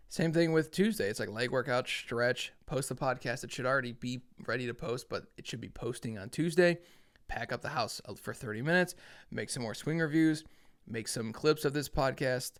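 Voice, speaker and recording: A man's voice: mid-range voice, fairly bright tone. A young man hosting a podcast, talking alone in a casual, earnest, plainspoken way, thinking aloud. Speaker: podcast host. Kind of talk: casual solo talk.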